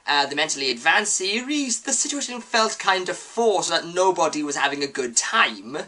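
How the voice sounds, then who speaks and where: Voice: medium pitch, very animated, moderate pace, sharp tone. A reviewer giving semi-scripted commentary on a series. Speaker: video reviewer.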